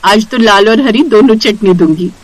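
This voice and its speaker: A woman voicing a kind, hardworking mother who runs a food stall in an animated moral story, caring and reassuring. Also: mid-range voice, moderate pace, slight background noise, close to an everyday voice, low-quality recording. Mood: cheerful, busy.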